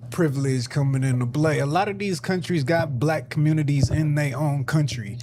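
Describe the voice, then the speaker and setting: A man's voice: on the high side, normal speed, background noise. A man co-hosting a casual stream discussion, talking bluntly and conversationally. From United States. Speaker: stream co-host.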